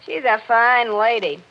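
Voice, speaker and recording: An actress playing a blunt, disapproving housemaid in a 1940s radio drama, familiar and mocking with her mistress. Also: a little high. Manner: blunt, sardonic, mimicking. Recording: dull vintage radio recording.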